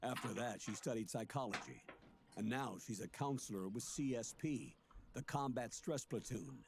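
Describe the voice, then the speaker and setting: A male actor playing an earnest older colonel in a video game, explaining things in a measured, authoritative way. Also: mid-range voice, creaky, noisy background, lightly acted. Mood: earnest, briefing.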